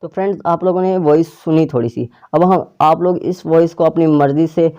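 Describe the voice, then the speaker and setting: A man's voice: a little high, normal speed, dark tone, dull audio, slight background noise. A man hosting a casual tech tutorial, explaining an app step by step to viewers. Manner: step-by-step instructions addressed to viewers.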